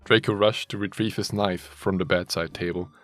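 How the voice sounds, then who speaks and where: A man's voice: low-pitched, steady tone, moderate pace, fairly bright tone, faint music behind. A man reading a fanfiction story aloud as a dramatic narrator, mock-serious and often amused, with joking asides. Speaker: story reader.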